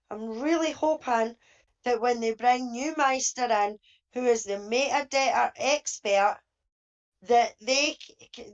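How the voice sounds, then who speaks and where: A woman giving emphatic, indignant opinions as a panelist in a discussion over a lo-fi online call. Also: a little high.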